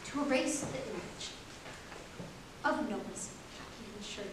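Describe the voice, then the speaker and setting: A grieving mother, acted by a woman on stage, speaks slowly and haltingly in a pained hush. The voice is distant in a very echoey hall with faint hiss. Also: on the high side, animated. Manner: halting, slow, hushed. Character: grieving parent of a murdered son, pained, halting.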